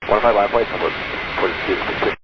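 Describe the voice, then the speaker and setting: Muffled noisy cockpit radio, male airline pilot, lowish voice, low-key clipped readbacks. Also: very fast. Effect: aviation radio. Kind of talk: radio readbacks to air traffic control. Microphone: cockpit radio transmission.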